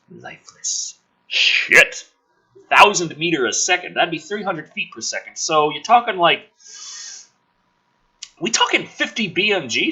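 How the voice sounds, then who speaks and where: A man's voice: a little high, sharp tone, slightly rough, poor audio. A man reading a script dramatically and breaking off into sarcastic, exasperated, sweary commentary as the host.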